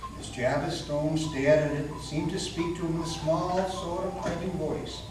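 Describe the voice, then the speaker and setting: A man's voice: a little high, little pitch movement, normal speed, music in the background. A man giving a dramatic story reading as a folksy narrator. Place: public meeting house, indoors. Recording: very echoey hall, distant mic, faint noise.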